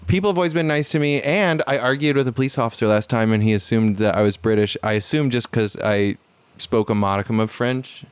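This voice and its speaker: A man's voice: mid-range voice, fairly quick, hardly any pauses, clean tone. A man co-hosting a podcast, chatting casually and jokingly, dropping in French phrases. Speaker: podcast co-host. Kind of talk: casual chat.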